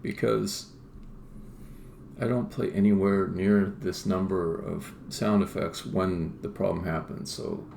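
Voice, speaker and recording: A roomy recording with faint noise carries a man's very low voice. He is a developer live-streaming his coding, talking casually and half to himself in short remarks. His delivery has a marked creak to it. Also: fairly even intonation, slow pace, darkish tone. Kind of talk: casual live-coding commentary, thinking aloud. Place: indoor room at a computer. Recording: roomy echo, faint background noise, keyboard typing.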